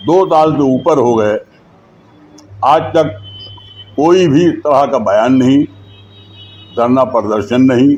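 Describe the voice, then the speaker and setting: A man giving an interview statement as a party politician, deliberate and phrase by phrase. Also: medium pitch, darkish tone. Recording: dull lo-fi sound, faint background noise.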